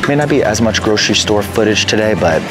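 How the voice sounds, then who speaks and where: A man's voice: on the low side, animated, fast, over music. A man in his thirties, a fitness vlogger, chatting upbeat and casually to the camera while shopping in a supermarket, on a clip-on mic. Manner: upbeat, chatty, talks straight to viewers. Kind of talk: casual vlog chat to camera. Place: large supermarket aisles, indoors. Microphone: lapel mic on a handheld camera. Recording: loud store background noise.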